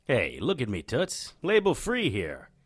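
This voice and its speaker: A man's voice: mid-range voice, huge pitch swings, unhurried, breathy edge, full character voice. A man playing a cocky, wisecracking occult private investigator in an audio drama, wry and irreverent in noir style. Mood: cocky probing. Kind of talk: audio drama dialogue and first-person narration.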